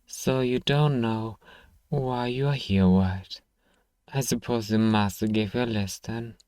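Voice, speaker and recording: A man's voice: mid-range voice, unhurried, a little mellow, no room sound, close to an everyday voice. A man playing a haughty, sarcastic, exasperated apothecary shopkeeper in a fantasy ASMR roleplay. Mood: condescending, weary. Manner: exasperated, condescending, sighing, dry sarcasm. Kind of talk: scripted ASMR roleplay monologue.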